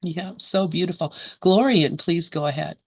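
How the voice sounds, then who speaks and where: A woman's voice: fairly low pitch, normal speed, a little mellow, husky, no room sound, lo-fi sound. A woman leading a study group on a video call, reading a book aloud and chatting, laughing easily between passages.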